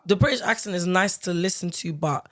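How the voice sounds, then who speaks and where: A woman's voice: fairly low pitch, a little fast. A young woman, raised in Ireland, chatting casually as a podcast guest, with many fillers and frequent laughter. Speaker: guest, singer-songwriter.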